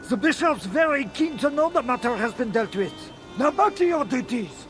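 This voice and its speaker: An actor playing men of a medieval church in a video game cutscene. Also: male voice, very high voice, big pitch swings, moderate pace, fairly bright tone, soft background music, full character voice. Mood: angry, impatient.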